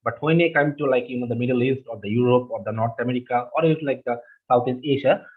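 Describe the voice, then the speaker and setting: A man from Bangladesh whose first language is Bengali, presenting slides in a webinar, halting with many fillers and restarts. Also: medium pitch. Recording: husky, phone-like online call audio.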